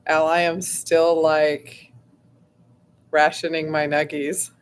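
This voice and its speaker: A woman hosting a casual livestream, her voice going hoarse, rambling chattily with lots of fillers and frequent throat clearing. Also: low voice, little pitch movement, slow. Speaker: host.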